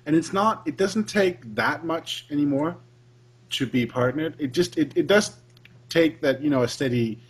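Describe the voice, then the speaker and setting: A man's voice: fairly high pitch, animated, normal speed, slightly rough. A man who is a guest on a casual podcast, explaining things and often restarting his sentences. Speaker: guest Let's Player.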